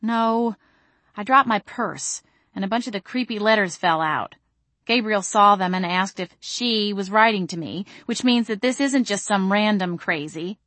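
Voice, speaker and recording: A woman voicing a distraught, sharp-tongued young female vampire in an audiobook, venting with emphatic indignation. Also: medium pitch, unhurried, no echo, lightly acted. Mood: angry venting.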